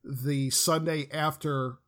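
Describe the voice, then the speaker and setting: A man's voice: fairly high pitch, level tone, slow pace, fairly bright tone. Man in his thirties, chatty podcast host. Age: 30s. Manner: chatty, asks long rambling questions. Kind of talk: casual podcast chat.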